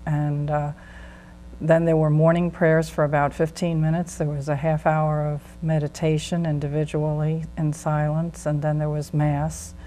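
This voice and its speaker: A middle-aged American woman speaks in a low, level voice, recounting her past plainly with occasional fillers. A faint hum runs underneath. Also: dark tone, rough recording. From United States. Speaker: guest, former nun. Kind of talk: interview testimony.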